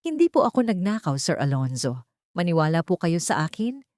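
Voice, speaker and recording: A woman voicing a meek, sincere young housemaid in an audiobook drama, soft, deferential and pleading. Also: lowish voice, big pitch swings, a little fast, dry recording, studio quality.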